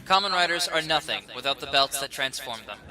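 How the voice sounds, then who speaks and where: A man's voice: mid-range voice, lively intonation, a little fast, over music. A man reading scripted narration as a guest presenter on a video.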